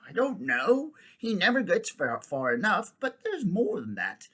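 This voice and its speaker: An excitable, unhappy young boy from a storybook, rushing and restarting his sentences, as a man acts him out. His voice rises a little above the reader's own and jumps about a lot. It has a husky grain. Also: high voice, voice pushed up, full character voice. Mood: secretive. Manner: tumbling, breathless restarts.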